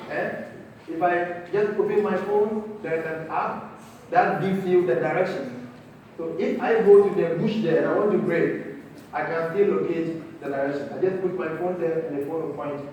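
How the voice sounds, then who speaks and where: A man's voice: high voice, a little mellow. A man from Ghana with a Ghanaian accent, patiently explaining things to visiting students as a mosque guide. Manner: patient, explaining, asks "okay?" and "eh?". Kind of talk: informal teaching talk. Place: large mosque hall. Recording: echoey hall from far off, with noise.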